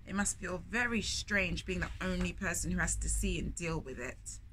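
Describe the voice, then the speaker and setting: A woman's voice: on the low side, normal speed, a bit bright. A middle-aged woman hosting storytime, reading a picture book aloud expressively, with chatty asides and questions for young listeners. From lives in London, England. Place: indoors in a bookshop. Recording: clear background noise, shop ambience. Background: background ambience noise.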